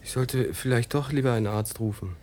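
An actor playing a weary, sick writer who speaks courteously and in a subdued way in a radio drama. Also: male voice, mid-range voice, fairly quick, darkish tone, slight background noise, natural voice. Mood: weary, apologetic. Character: weary, sick American writer, polite and grateful.

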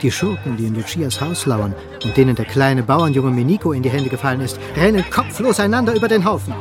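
A man giving expressive, dramatic scripted narration as the storyteller of a radio play. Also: medium pitch, fast talker. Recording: studio narration over music.

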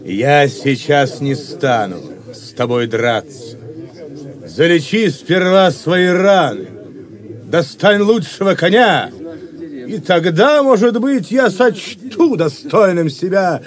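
An actor playing a proud, scornful Templar knight in an audio drama, haughty and contemptuous. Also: male voice, high-pitched, animated, slow pace.

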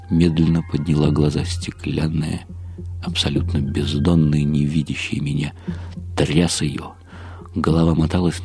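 An actor playing a weary, bitter older husband, narrating a radio drama in a brooding, ironic way with drops into whispers. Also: male voice, very deep voice, creaky, dark tone. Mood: grim agitation. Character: weary, bitter husband of an alcoholic, wryly despairing. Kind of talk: dramatized first-person literary narration for radio. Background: faint music.

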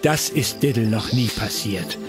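A man narrating a children's audio story in an expressive, playful way. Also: lowish voice, a little slow, over music.

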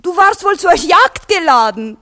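Eine Schauspielerin spielt in einem deutschen Hörspiel eine anhängliche, eifersüchtige junge Geliebte, theatralisch schmollend. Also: female voice, extremely high pitch, sing-song, pushed and bright, full character voice. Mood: teasing, possessive. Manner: theatrical, pouting, drawn-out delivery with breathy whispers. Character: clingy, coquettish, jealous young actress mistress. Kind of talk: German radio play stage-comedy acting.

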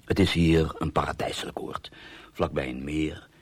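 Scheming tired husband in a radio play, husky super deep voice, dry mock-sweet irony, no room sound. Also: male voice, steady tone, no echo. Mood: wry, scheming. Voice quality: gravelly, husky. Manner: dry, ironic, measured narration with mock affection. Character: scheming, sardonic husband weary of his marriage, narrating. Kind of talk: radio drama monologue.

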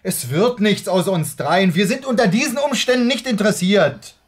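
An actor playing a skeptical, irritable young husband in a German radio play, halting, blunt and defensive in his delivery. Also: high voice, lively intonation. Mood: irritated. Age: young adult.

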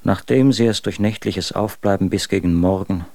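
Bitter young poet-husband, deep register, dramatic letter reading for radio drama, dry studio. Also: male voice, normal speed, mellow, no room sound. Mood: anguished. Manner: literary, confessional, dramatic.

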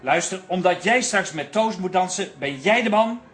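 A coy, flirtatious dance teacher in a radio play. His voice is high and very melodic, animated and playfully bossy. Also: normal speed. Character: playful, flirtatious man giving dance instruction. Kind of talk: radio drama acting.

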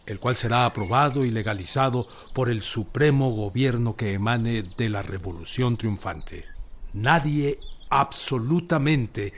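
An actor playing a resolute revolutionary general from rural Mexico in a radio drama, speaking solemnly and deliberately with a Mexican accent. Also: male voice, medium pitch, a little hiss or hum, lightly acted. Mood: solemn, proclaiming. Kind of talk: radio drama acting, proclamation and dialogue.

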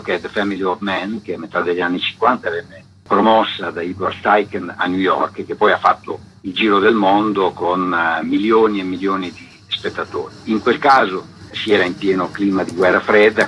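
A man's voice: on the low side, a bit bright, lo-fi sound, slight background noise. A man interviewed on the radio as an exhibition coordinator, giving long, articulate explanations.

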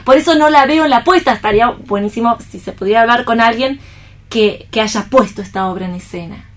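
A woman with a fairly high voice talks casually on a radio panel. She is thoughtful, often checking that the others agree with small tag questions. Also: fairly quick. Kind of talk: casual literary discussion.

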